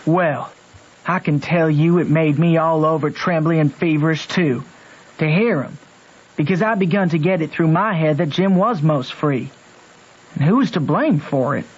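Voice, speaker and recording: An earnest backwoods boy with a high voice and a Southern American drawl. He talks in folksy, rustic grammar as he narrates and acts in a radio play, wrestling with his conscience. The sound is a dull old radio recording. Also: normal speed, breathy edge. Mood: troubled. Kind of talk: old-time radio drama, first-person narration and dialogue.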